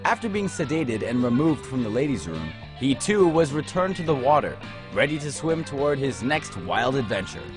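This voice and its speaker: A man's voice: mid-range voice, sing-song, moderate pace, over music, rough recording. A man reading scripted narration for light news stories in a playful, storytelling way.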